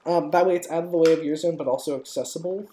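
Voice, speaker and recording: A woman's voice: low voice, fairly even intonation, fast, mellow, natural voice. A young woman chatting casually and effusively in slang while playing a building game. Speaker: player in a multiplayer block-building game. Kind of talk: casual gaming chat, acted in a dramatic reading. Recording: noisy room, keyboard clicks.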